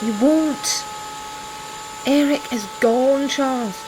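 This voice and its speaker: Woman playing a stern woman over rushing noise. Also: high voice. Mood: angry. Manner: blunt, firm. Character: stern, caring adult woman, the professor's exasperated partner. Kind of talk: audio drama acting.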